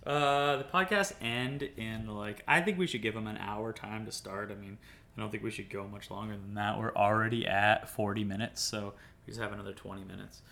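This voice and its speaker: A young man hosting a casual podcast chat, rambling and laughing often, drifting into quieter asides. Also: steady tone, a little fast, gravelly. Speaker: podcast host. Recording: faint background noise and rumble.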